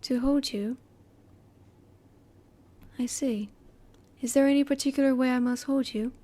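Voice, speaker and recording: A woman voicing a curious, emotionally reserved female alien in an intimate roleplay audio, speaking in a formal, measured, inquisitive way. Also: sits high, steady tone, warm tone. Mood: curious, polite.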